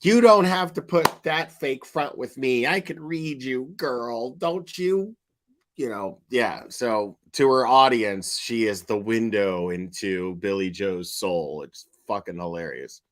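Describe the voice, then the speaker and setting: A man's voice: medium pitch, lively intonation, slow pace. A man giving casual, sweary reaction commentary in a blunt, mocking, sardonic manner. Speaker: commentator reacting to online true-crime streamers.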